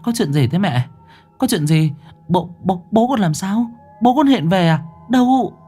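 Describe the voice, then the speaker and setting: A man voicing a sensible young adult daughter, who talks briskly and reassuringly. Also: fairly high pitch, very animated, a little mellow, soft background music, pitched above the performer's own voice. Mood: alarmed.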